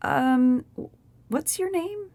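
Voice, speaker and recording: Woman acting a deliberate house cat doctor, expressive pitch. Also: heavily acted. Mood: startled, wary. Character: proud, composed female house cat doctor. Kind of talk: comedic audio drama acting.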